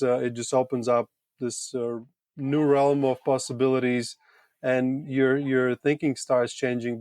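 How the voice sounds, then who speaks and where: A man with a Latvian accent, a podcast guest telling his personal story casually in halting, thoughtful phrases with repeated words. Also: mid-range voice, a little mellow, smooth, no echo, clean studio sound. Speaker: podcast guest, retreat owner.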